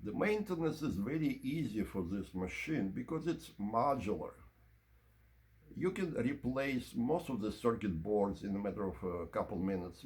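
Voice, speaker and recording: Guy reviewing gear, lowish voice, goes up and down a lot, explains stuff in short chunks. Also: a little mellow. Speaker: presenter of audio equipment review. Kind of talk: informal product review and demonstration to camera.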